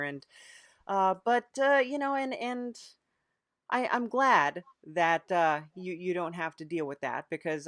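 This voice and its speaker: Woman podcast host, lowish voice, deadpan and kinda halting, improv comedy interview, super dry sound. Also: no room sound.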